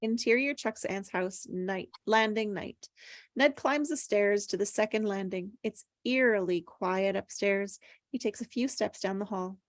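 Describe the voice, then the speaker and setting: A woman's voice: medium pitch, measured pace, no room sound, clean studio sound. A woman reading stage directions aloud from a script at a table read, as scripted narration.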